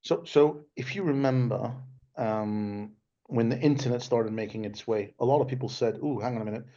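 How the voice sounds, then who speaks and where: A man speaking over a webinar call, his mid-pitched voice carrying a gravelly edge. He talks in a loose, conversational way, often checking in with "right?" and circling back over his points. The audio sounds a little dull, as webinar sound often does. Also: normal speed, warm tone. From Denmark. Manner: conversational, frequent "right?" tags and restarts. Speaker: guest speaker, tech executive. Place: remote video call. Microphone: video call microphone.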